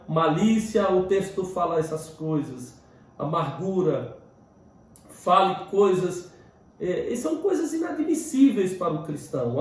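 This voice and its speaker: Echoey higher-pitched Brazilian man preaching. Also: darkish tone. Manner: exhorting, emphatic, short phrases with direct appeals to listeners. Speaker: pastor teaching a Bible lesson, host of the show. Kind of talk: expository Bible teaching, spoken informally. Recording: very echoey room, distant mic, faint noise.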